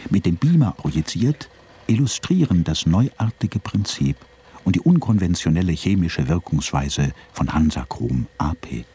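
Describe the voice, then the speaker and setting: A man's voice: low voice, lively intonation, normal speed, mellow, breathy edge. Dry, ironic male radio narrator.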